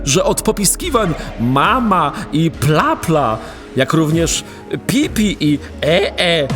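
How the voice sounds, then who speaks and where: A man narrating a radio play in an expressive, animated storytelling way. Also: fairly high pitch. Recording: faint background music.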